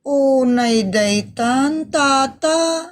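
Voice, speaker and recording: A woman's voice: high voice, extremely slow. A woman playing an exasperated, complaining woman in an Ilocano comedy drama, with an Ilocano accent and an emotive, scolding delivery. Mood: pleading, indignant. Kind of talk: Ilocano comedy radio-style drama acting.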